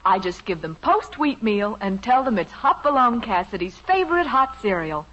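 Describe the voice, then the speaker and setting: Husky, pleased housewife. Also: female voice, mid-range voice, big pitch swings, moderate pace, a bit bright. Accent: American. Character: pleased, practical housewife mother in a radio ad. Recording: muffled old radio recording.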